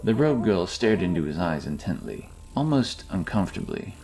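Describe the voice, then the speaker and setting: A man narrating a scripted fantasy story in a measured, dramatic storytelling manner. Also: sits low, wildly swooping pitch, creaky, crowd noise.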